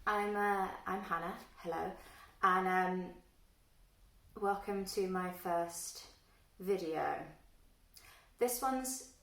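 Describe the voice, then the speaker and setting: A woman's voice: lowish voice, slow, long pauses, a bit bright. Wry woman in an echoey room. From London, England. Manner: self-deprecating, wry, many fillers. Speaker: book vlogger. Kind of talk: casual self-introduction to camera. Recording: echoey room, a little far from the mic.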